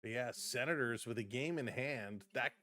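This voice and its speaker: A man doing live play-by-play for a fan stream, switching between brisk calls of the action and chatty replies to his viewers, his voice starting to go hoarse. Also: mid-range voice, bright, forceful tone. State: voice getting hoarse. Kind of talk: live play-by-play and chat reactions.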